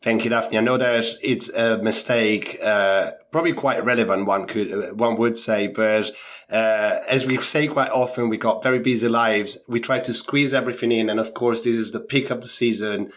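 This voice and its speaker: A man's voice: on the low side, steady tone, normal speed, fairly bright tone. A man with a sore throat co-hosting a podcast, reading skating news in casual chat with some hedging fillers. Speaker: podcast co-host.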